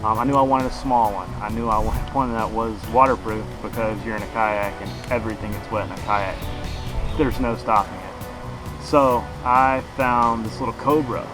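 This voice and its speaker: A man's voice: fairly low pitch, steady tone, normal speed, music in the background. A man in his thirties giving a casual, unscripted gear review to camera outdoors, in an informal, folksy way, a little way from the camera. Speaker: kayak fishing vlogger, product reviewer. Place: outdoors in a grassy backyard beside a shed. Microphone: camera a couple of metres away.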